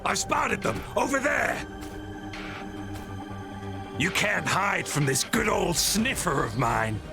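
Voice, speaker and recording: A high, gravelly henchman, sneering and cocky. He taunts in an exaggerated game-villain style, swinging wildly in pitch over music. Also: very animated, slow pace, heavily acted. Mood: gloating. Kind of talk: video game cutscene acting.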